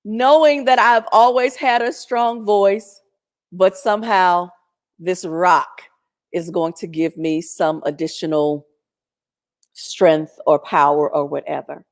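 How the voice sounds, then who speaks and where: A woman telling a personal story in a chatty, animated way, speaking over a video call in a strong, loud voice. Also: fairly low pitch, slow pace. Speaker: featured guest. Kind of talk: storytelling testimony.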